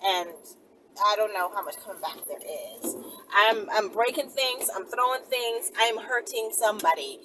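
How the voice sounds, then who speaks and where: A woman's voice: fairly high pitch, moderate pace, over music. A woman hosting a casual question-and-answer vlog, talking emphatically into her phone from inside a car, often repeating her words as she goes. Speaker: channel host answering viewer questions. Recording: distant phone mic with faint background noise.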